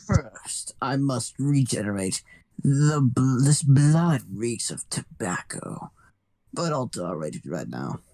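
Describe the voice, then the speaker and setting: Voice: medium pitch, very animated, slow, darkish tone, heavily acted. A performer in a live amateur anime fandub voicing a haughty, scornful devil in a pompous, declaiming manner. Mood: haughty, grudging. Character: haughty, scornful devil demanding blood and humans.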